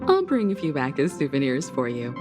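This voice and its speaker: A woman voicing a poised, worldly scientist in a video game, assured and warmly teasing. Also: rumbling low, sing-song, a little fast. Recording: music behind the voice.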